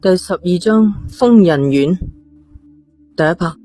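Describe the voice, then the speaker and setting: Male storyteller, Hong Kong Cantonese accent, mellow and smooth-edged but slightly husky, suspense audiobook over faint music. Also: high-pitched, slow. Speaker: audiobook narrator. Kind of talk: dramatic Cantonese horror novel narration.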